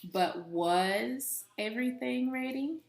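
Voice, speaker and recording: Slow, echoey woman reading a children's story. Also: a little high, expressive, gravelly. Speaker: teacher reading aloud. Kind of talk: expressive children's story read-aloud. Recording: echoey, roomy sound.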